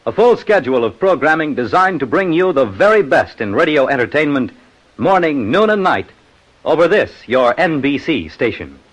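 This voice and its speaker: A man's voice: on the high side, big pitch swings, moderate pace, a little hiss or hum, rough recording. An American man working as a polished, formal radio announcer and narrator in a scripted 1950s style.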